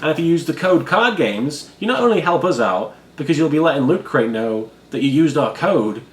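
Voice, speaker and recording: A man with a froggy, hoarse voice, chatting casually and self-deprecatingly as a YouTuber unboxing a subscription box. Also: a little high, sing-song, moderate pace. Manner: self-deprecating, rambling, quiet-natured. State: hoarse, froggy voice after studio work. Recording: echoey room with faint background ambience.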